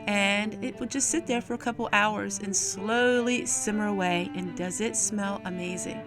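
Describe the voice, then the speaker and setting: A woman's voice: mid-range voice, steady tone, moderate pace, over music, poor audio. A middle-aged woman narrating a homemaking vlog, explaining chores and cooking in a plain-spoken, folksy way. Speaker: homesteading vlogger and host.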